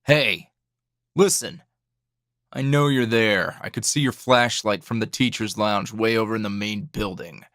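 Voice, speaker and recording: A man playing a tired, gruff, dryly teasing hero schoolteacher in an ASMR roleplay, speaking low and gravelly in a halting, understated way. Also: fairly bright tone, no echo, clean studio sound. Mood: irritated, weary. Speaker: voice actor.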